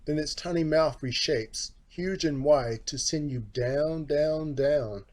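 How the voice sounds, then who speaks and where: A man's voice: a little high, slow, darkish tone. A man voicing a fretful, protective mother hen in a storybook, with dramatic, emphatic rhyming warnings. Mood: grave warning.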